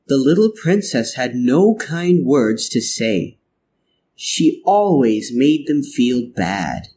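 A man reading a children's storybook aloud in a deliberate, expressive scripted narration. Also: mid-range voice, slight background noise.

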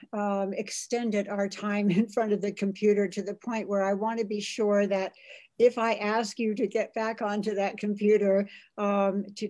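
A middle-aged woman hosts a video call talk from a home room with bookshelves, speaking into her computer mic. She talks in halting bursts with many fillers, restarts and repeated thanks. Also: mid-range voice, warm tone. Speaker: host.

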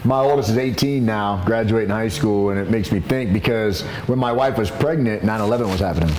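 Reflective host in an echoey barbershop. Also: male voice, fairly low pitch, a little fast, darkish tone. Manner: earnest, reflective, prompting others. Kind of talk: casual barbershop talk. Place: barbershop, indoors. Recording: echoey shop with faint noise.

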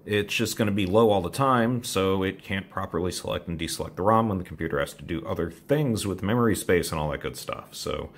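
A man with a deep, gravelly voice talks through a computer hardware repair in a loose, rambling way. He sounds informal and a little self-mocking. A faint hiss sits under his voice from the home bench. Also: brisk, few pauses. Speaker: electronics hobbyist host. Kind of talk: casual technical walkthrough. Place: home workbench with computer gear.

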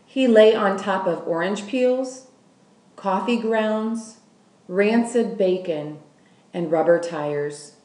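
A woman reading a children's novel aloud as a narrator, with deliberate, measured phrasing. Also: medium pitch, a little mellow. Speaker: school staff member reading aloud. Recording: roomy, echoing room sound.

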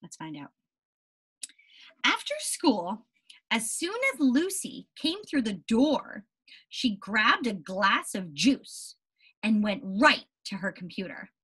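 A young woman teacher reading aloud to young children in an expressive, deliberate way and putting questions to them. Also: on the high side, husky. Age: young adult. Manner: animated, deliberate storybook reading with questions to the listeners.

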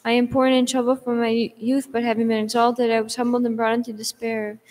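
Woman reciting psalms in a flat monotone. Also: on the high side, brisk. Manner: steady monotone recitation with occasional restarts. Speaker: reader of liturgical psalms. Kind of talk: psalm reading in English and Greek.

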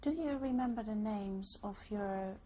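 A woman interviewer asking questions in a measured, formal way and spelling out names. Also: mid-range voice, mellow, slight background noise.

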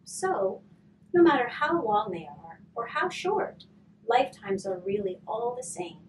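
A woman in her thirties, a hospice volunteer, gently reading a storybook to children and then explaining a craft, her voice often dropping to a whisper. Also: fairly high pitch, big pitch swings. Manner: soft, slow and gentle, often trailing to a whisper. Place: curtained room, indoors. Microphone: far camera. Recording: distant mic with faint room noise.

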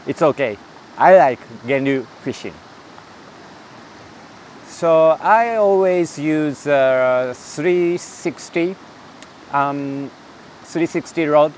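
A middle-aged man from Japan with a Japanese accent, a non-native speaker answering interview questions in English, with many pauses and fillers, recorded outdoors by a stream on a lapel mic. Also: a little high, a little mellow, slight background noise, low-quality recording. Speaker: interview guest.

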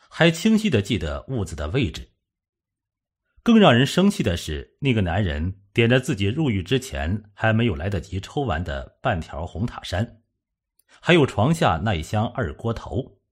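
A man narrating a suspense novel as an audiobook, in a measured, dramatic storytelling manner. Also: lowish voice, sing-song, husky.

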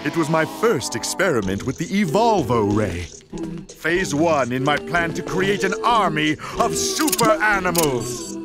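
An irritable mad scientist villain from a cartoon game. He is pitched fairly high and very animated, pompous and melodramatic in every phrase, with loud music underneath. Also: a little slow. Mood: wistful storytelling.

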